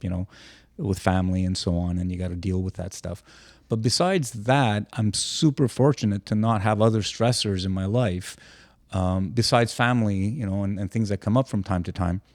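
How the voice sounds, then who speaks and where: A man's voice: lowish voice, gravelly, a little mellow, dry recording, clean studio sound. An older retired man chatting casually as a podcast co-host, rambling with frequent restarts. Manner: rambling, many restarts, easygoing. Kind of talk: casual chat.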